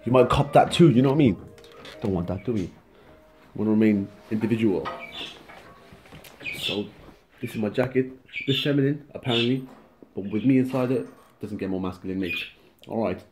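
A man's voice: lowish voice, normal speed, pauses often, roomy sound, over music, rough recording. A young man, vlogging casually to his phone at home and joking in slang banter. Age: young adult. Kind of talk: casual vlog to camera. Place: home kitchen indoors. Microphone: phone camera held at arm's length.

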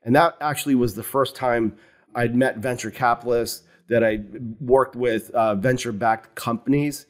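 A man in his fifties, a fund founder, talking through his career as an interview guest in a calm, explanatory way over a video call from a home office. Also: medium pitch. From United States. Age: 50s. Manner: measured, explanatory, with frequent "you know". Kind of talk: conversational interview. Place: home office with wooden cabinets. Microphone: video call webcam.

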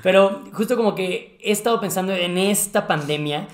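A man of thirty with a Mexican accent, hosting a podcast and chatting casually with lively gestures and plenty of slang. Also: high voice, moderate pace, echoey room. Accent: Mexican Spanish. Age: 30s. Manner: animated, gestures a lot, slangy. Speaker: podcast host. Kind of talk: casual chat. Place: living room with a fireplace.

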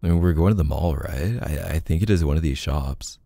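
A man with a deep, mellow voice plays an affectionate boyfriend in an intimate roleplay. He talks casually and unhurriedly, hesitating in a bashful, playful way. The recording is close and dry. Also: brisk, vocal fry, no room sound. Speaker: ASMR boyfriend roleplay performer. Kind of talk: intimate casual one-sided roleplay acting.

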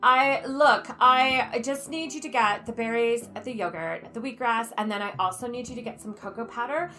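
Actress as a sharp, entitled, fussy woman. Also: on the high side, moderate pace, soft background music. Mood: demanding. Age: middle-aged. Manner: demanding, dismissive, whiny. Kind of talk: improvised soap-opera comedy acting.

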